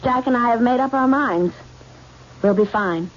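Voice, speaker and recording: An actress playing a brave, tender, quietly anxious young bride in a 1970s radio drama. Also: female voice, fairly high pitch, normal speed, a little hiss or hum, close to an everyday voice. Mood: earnest, composed. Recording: dull old radio recording with music.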